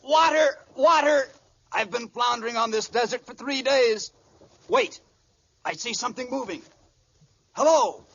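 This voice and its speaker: A man's voice: extremely high pitch, measured pace, gravelly, long pauses, fairly bright tone, breathy edge, full character voice. An actor playing an exasperated man lost in the desert, earnest and theatrical, in an old radio comedy. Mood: desperate. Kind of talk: 1940s radio comedy acting. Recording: dull, noisy old radio recording with audience.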